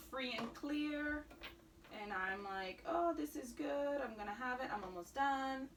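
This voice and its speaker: A woman's voice: a little high, noisy background. A woman whose first language is Spanish, chatting upbeat through a sewing tutorial and narrating each step as she works at the machine. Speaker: sewing instructor.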